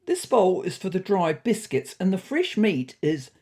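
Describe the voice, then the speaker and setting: A woman's voice: on the low side, sing-song, unhurried, mellow, husky, voice pushed up, full character voice. A woman voicing a proud, pedantic male cat in a comic story, precise and pleased with himself like a salesman.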